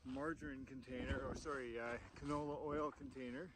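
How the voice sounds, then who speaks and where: A man's voice: mid-range voice, fairly even intonation, fairly quick. Upbeat Canadian man filming outdoors. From Calgary, Canada. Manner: upbeat, chatty, matter-of-fact updates. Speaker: expedition member. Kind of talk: casual video diary on the trail. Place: outdoors on a glacier ice sheet. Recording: outdoor camera audio with noise.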